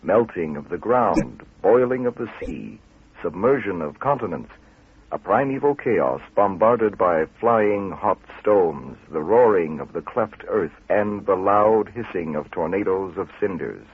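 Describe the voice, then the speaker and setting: Deep male documentary narrator, formal and measured, thin phone-like radio sound. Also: expressive, slightly rough, no echo. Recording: tinny, band-limited radio recording.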